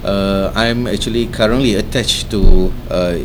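Low-voiced Malaysian professor, rumbly background. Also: male voice, steady tone, a little slow, background noise. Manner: fluent, checks in with "alright?". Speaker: guest, professor. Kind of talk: radio interview introduction.

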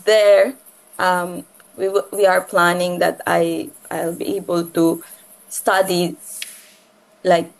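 Halting, lower-pitched Filipino-accented woman. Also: vocal fry, rough recording. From Philippines. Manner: halting, repeats and rephrases phrases. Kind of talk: casual conversational personal talk.

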